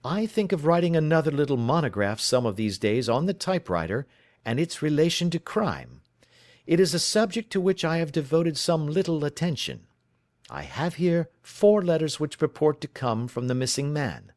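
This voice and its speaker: A man reading the part of a calm, sharp-witted male detective, suave and precise as he lays out his reasoning. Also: a little high, moderate pace, no room sound, studio quality, pitched above the performer's own voice. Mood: explaining. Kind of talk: audiobook reading.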